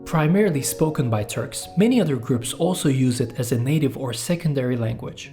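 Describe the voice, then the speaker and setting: A man with a Turkish accent, a native Turkish speaker, reading a scripted explainer narration in a measured, steady way. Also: mid-range voice. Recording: music bed under the voice.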